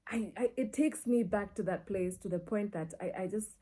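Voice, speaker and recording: A woman's voice: medium pitch, normal speed. A woman with a Kenyan accent telling personal stories casually and expressively, slipping between languages and acting out dialogue. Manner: expressive, acts out dialogue and reactions. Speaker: media personality and storyteller. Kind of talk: casual personal storytelling, mixing in Swahili.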